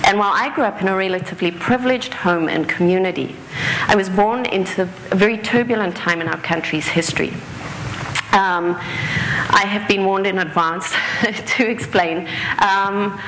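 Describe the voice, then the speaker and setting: A woman's voice: lowish voice, background noise. Woman giving a live talk. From South Africa. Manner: earnest, with fillers and small self-corrections. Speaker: speaker. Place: stage in an auditorium.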